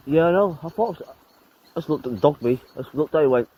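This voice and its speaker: A man's voice: a little high, expressive, fairly quick, dull audio, slight background noise. An eighteen-year-old man chatting casually outdoors in the snow, swearing and laughing as he rambles. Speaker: vlogger. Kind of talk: casual chat while out in the snow. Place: outdoors on a snowy road.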